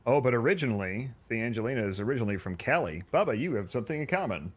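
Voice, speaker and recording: A man's voice: mid-range voice, expressive, rapid-fire. A man co-hosting morning radio from home, cracking dry quips and reading the weather forecast. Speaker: weather presenter and co-host. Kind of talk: casual morning radio banter. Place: at home, remote.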